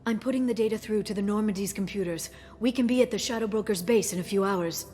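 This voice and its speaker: A woman's voice: medium pitch, fast, mellow, slight background noise, close to an everyday voice. An actress playing a determined, coolly rational woman in a science fiction video game, earnest and resolute, with a guarded manner. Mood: focused, businesslike. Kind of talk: dramatic video game acting. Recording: music under the voice.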